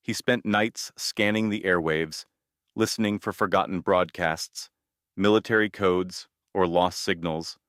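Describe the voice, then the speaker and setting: A man narrating a scripted scary story in a measured, ominous manner, in short deliberate phrases. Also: sits low, steady tone, a bit bright, no echo, studio quality.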